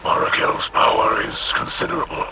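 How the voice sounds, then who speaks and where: A man voicing a stern, honourable alien warrior captain in a video game, grave and formal with a breathy, rasping growl. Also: moderate pace, very noisy, natural voice. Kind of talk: video game cutscene acting.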